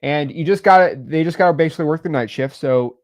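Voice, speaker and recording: A man hosting a casual business chat, rambling and animated with lots of fillers. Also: a little high, fast talker. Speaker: real estate podcast host.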